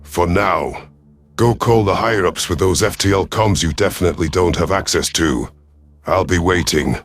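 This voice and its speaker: A man voicing a cold, calculating alien predator commander in a sci-fi audio story, imperious and menacing, with a rough husky voice. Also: low-pitched, normal speed. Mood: imperious, threatening. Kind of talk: dramatic first-person sci-fi audio story.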